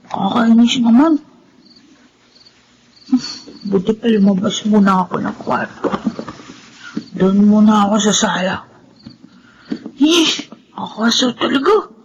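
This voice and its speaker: A woman's voice: a little high, darkish tone. A woman voicing a scared, stubborn, tearful little boy in a horror radio drama. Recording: dull, faintly noisy radio recording.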